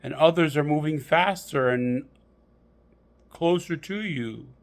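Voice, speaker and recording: A man narrating a guided meditation in a deliberate, measured storytelling manner with pauses. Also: fairly high pitch.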